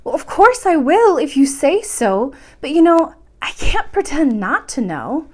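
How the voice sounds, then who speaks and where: A woman's voice: high voice, very animated, a little slow, a little mellow, pitched above the performer's own voice. A woman voicing an eager, polite, wide-eyed little princess in a storybook read-aloud, speaking in an earnest, exclaiming way. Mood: unsure.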